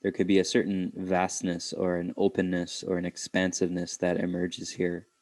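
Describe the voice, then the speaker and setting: A man leading an unscripted guided meditation, speaking reflectively in halting phrases with pauses. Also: low voice, completely flat delivery, darkish tone, dry recording.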